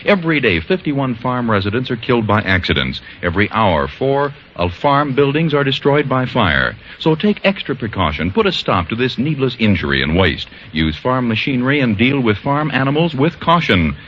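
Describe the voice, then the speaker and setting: A man's voice: medium pitch, sing-song, normal speed, few pauses, a little hiss or hum. An American man announcing and reading adverts in polished, emphatic 1940s radio style. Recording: dull old radio recording, faint music behind.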